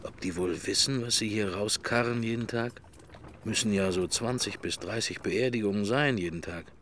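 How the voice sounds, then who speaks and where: Actor as a pensive middle-aged man, very low voice, inner monologue, clipped wry fragments, radio drama, faint noise. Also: moderate pace, fairly bright tone, lightly acted. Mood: wry musing.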